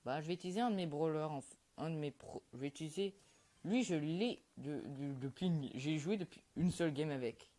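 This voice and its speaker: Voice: mid-range voice, brisk, warm tone. Young gamer from Quebec, casual game commentary, mumbly and offhand, lots of fillers. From Quebec, Canada. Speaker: gaming YouTuber.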